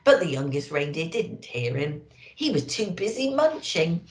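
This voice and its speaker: Expressive, low-pitched woman reading a storybook. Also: normal speed, echoey room. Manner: expressive, dramatic emphasis, addresses children. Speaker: storyteller in character as a Christmas elf.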